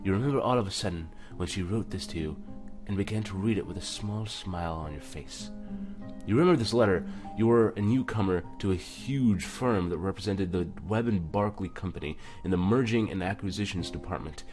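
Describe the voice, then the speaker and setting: A man with a lowish voice hosts a casual let's play. He comments informally and stumbles a little when reading aloud. Faint music sits behind him. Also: brisk, a little mellow. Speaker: gaming video host.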